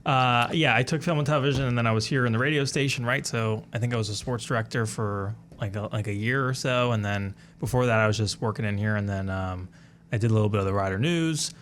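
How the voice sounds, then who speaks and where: A man's voice: fairly low pitch, fast talker, fairly bright tone, a little hiss or hum. A young man in his twenties, a guest on a college radio show, telling stories in a rambling, loose way with lots of fillers. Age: 20s. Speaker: guest, alumnus. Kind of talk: casual interview chat.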